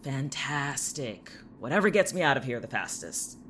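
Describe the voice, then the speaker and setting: A jaded teenage high schooler in an audio drama, played by a man, sarcastic and quick to get defensive. His blunt, irritable delivery has lively pitch movement, over faint background noise. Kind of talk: audio fiction dialogue.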